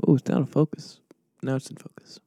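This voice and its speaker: A man co-hosting a casual podcast, soft-spoken and a bit mumbly, with a laid-back delivery. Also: brisk. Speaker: podcast co-host. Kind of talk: casual chat.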